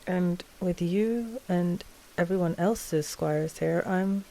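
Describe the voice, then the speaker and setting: A woman playing an anxious, tender vampire noblewoman in an ASMR roleplay, speaking softly and hesitantly with sighs. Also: fairly low pitch, slow. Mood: worried, tender. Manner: soft, hesitant, sighing.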